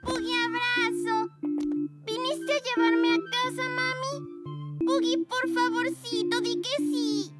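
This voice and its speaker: A woman's voice: very high-pitched, flat delivery, slow. A woman voicing a timid, sweet little girl pug puppy in a preschool cartoon, childlike and hesitant, with a pleading way of talking. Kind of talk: preschool cartoon dub acting. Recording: music behind the voice.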